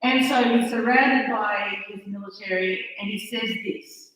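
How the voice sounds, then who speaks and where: A woman's voice: mid-range voice, unhurried, a bit bright. A woman from Australia with an Australian accent, giving a chatty, self-deprecating conference talk that halts and restarts. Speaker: conference speaker. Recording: echoey hall, mic far off.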